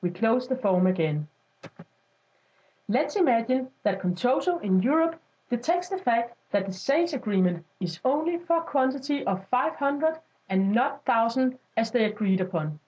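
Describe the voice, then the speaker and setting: Dull lo-fi recording, high female voice, scripted instructional narration, software walkthrough. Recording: dull lo-fi voice-over.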